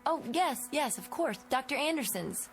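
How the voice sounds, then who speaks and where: An actress playing an anxious, reserved schoolteacher in her twenties in an audio drama, speaking in a halting, apologetic way. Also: sits high, expressive. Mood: hesitant. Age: 20s. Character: anxious, reserved young schoolteacher woman, earnest and gentle. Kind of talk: naturalistic audio drama dialogue. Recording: music behind the dialogue.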